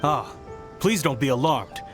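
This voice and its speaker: An actor playing a dutiful guard officer in a video game, formal, courteous and reassuring. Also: male voice, medium pitch, expressive, a little slow, music in the background, close to an everyday voice.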